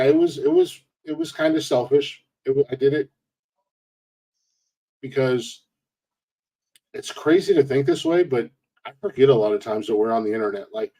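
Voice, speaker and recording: Male podcast host, 40s, mid-pitched, rambling, self-amused. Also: dark tone, poor audio. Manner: rambling, restarts often, laughs at own jokes. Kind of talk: casual podcast chat.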